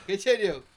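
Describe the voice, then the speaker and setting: A man's voice: high voice, no room sound. A man co-hosting a podcast in casual chat, teasing and giggly. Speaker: podcast co-host.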